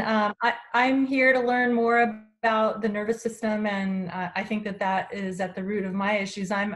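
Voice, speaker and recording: A woman with a medium-pitched voice speaks indoors on a video call. She introduces herself to a workshop group casually and a little hesitantly. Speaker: workshop participant.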